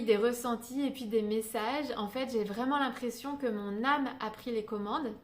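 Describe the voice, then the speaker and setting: A woman from Paris, talking through her reflections in an unscripted vlog, with many hesitations and "euh" fillers. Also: a little high. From Paris, France. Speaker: spiritual guidance vlogger. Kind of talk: unscripted reflective monologue to camera. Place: indoors in Paris during a thunderstorm. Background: faint storm ambience.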